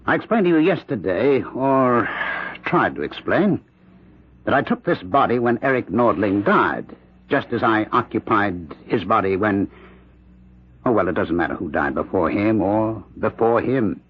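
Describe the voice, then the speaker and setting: Male possessed convict for radio thriller: husky, theatrical, highly animated, menacing, oratorical, dull vintage sound. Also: unhurried. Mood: sinister insistence. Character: sinister prisoner possessed by Jack the Ripper's spirit. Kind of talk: 1970s radio drama acting. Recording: dull lo-fi old radio recording.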